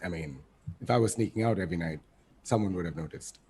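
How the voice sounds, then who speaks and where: A man's voice: sits low, fast, darkish tone, slight background noise, natural voice. A man playing an earnest, self-assured district attorney in a casual table read. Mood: earnest, musing.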